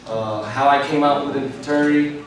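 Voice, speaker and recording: A man's voice: mid-range voice, fairly even intonation, a little fast, noisy background. A middle-aged man from New York giving a rambling, conversational keynote speech, full of "you know" fillers. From New York, United States. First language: English. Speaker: keynote speaker. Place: large convention hall. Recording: echoey hall from far off.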